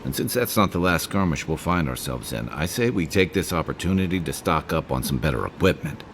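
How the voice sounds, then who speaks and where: A man voicing a gruff, wry, streetwise adventuring companion in a video game. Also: a bass voice, lively intonation, fairly quick, slight background noise. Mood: wry, practical.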